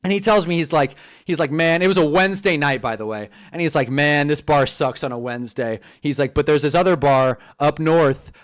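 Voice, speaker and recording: A man's voice: on the high side, fairly quick, few pauses. A man in his twenties with a Californian accent, hosting a live stream and telling stories casually. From Southern California. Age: 20s. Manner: animated, swearing, storytelling. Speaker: streamer host.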